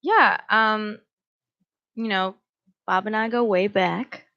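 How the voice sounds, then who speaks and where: Chatty young woman singer, Greek first language, mid-pitched, slightly dull radio sound. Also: slow pace, vocal fry, pushed and bright. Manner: chatty, laughs often, many ums. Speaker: musician guest. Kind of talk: casual interview chat. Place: small radio studio in a church.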